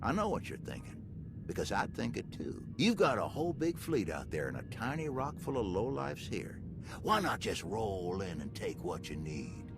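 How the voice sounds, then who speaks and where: An actor playing an older, folksy and plain-spoken station engineer in a video game. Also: male voice, on the low side, big pitch swings, normal speed. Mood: confiding. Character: folksy, gruff veteran male station engineer. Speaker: chief engineer. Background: music.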